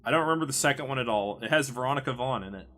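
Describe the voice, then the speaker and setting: A man's voice: mid-range voice, rapid-fire, sharp tone. A man hosting a casual podcast chat, often chuckling as he talks. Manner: chuckles often while talking. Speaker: podcast host.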